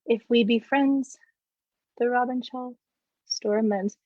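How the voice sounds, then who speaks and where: A woman's voice: fairly high pitch, fairly even intonation, slow pace, dark tone. A woman performing a mischievous but honest sprite in a recited Shakespeare verse monologue, bidding the audience goodnight. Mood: measured, apologetic. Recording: roomy echo, dull lo-fi audio.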